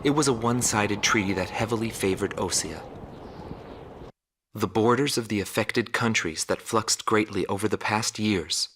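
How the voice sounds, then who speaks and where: An actor playing a reflective journalist narrating a war documentary in a measured, solemn way. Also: male voice, lowish voice, noisy background, close to an everyday voice.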